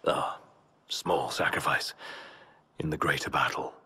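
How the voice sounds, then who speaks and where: A man playing a stoic, wounded, loyal comrade in a video game, with a low husky voice and restrained, formal delivery. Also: steady tone, unhurried, bright, forceful tone, heavily acted. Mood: grave, subdued.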